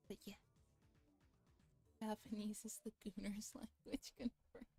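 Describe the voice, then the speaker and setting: A woman streamer reading a visual novel aloud in a soft, relaxed ASMR style, sometimes slipping into a whisper, with casual chat. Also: mid-range voice, slow, husky, no echo. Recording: music playing behind the voice. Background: music.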